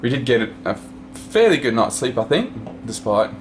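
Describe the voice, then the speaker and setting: A man casually narrating his travel vlog into a handheld camera, relaxed and chatty, moving between buses, a ferry and a small room. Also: medium pitch, normal speed. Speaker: vlogger. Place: on the move: bus, ferry, small hotel room. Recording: busy crowd and noise behind.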